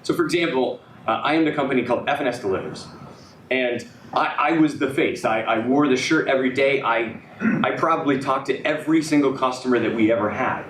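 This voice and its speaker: A slightly hoarse man pitching his startup to an audience. He hesitates a lot, with many fillers and restarts. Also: fairly quick, a bit bright, slight background noise. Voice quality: raspy. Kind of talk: business pitch presentation. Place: event room with audience. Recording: echoey room, speaker far from mic.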